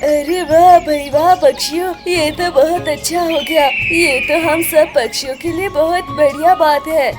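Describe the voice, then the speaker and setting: A woman playing an excitable, impatient male bird in a children's cartoon, eager and exclamatory. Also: sits high, measured pace, few pauses, over music. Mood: excited. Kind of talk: Hindi children's cartoon dubbing.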